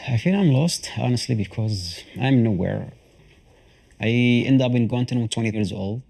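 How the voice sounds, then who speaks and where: A man in his thirties giving an interview, speaking haltingly and repeating himself as he searches for words. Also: medium pitch, expressive, gravelly, warm tone. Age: 30s. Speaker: interviewee, former detainee. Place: apartment indoors.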